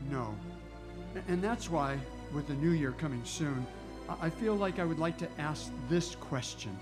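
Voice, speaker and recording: A man playing an amiable, somewhat awkward homicide inspector in an old-time radio detective drama, speaking casually and haltingly. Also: a little high, over music, natural voice. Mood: awkward, bashful. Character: friendly, hesitant male police homicide inspector.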